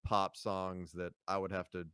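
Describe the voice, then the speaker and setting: Podcast guest, man, very low smooth voice, easygoing rambling talk, dry close sound. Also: measured pace, no echo. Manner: relaxed, rambling with fillers and laughs. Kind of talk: casual podcast chat.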